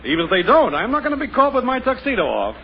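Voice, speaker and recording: A wisecracking sitcom neighbor. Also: male voice, very high voice, wildly swooping pitch, quick pace, lo-fi sound, heavily acted. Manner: joking, drawling, laughs at his own gags. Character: wisecracking, self-pleased next-door neighbor man. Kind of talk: 1950s radio sitcom acting. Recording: scratchy 1950 radio broadcast, loud hiss.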